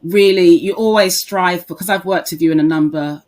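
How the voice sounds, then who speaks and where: A woman's voice: fairly low pitch, moderate pace, a little mellow. A woman hosting a podcast, introducing her guest enthusiastically and asking about her background. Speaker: podcast host.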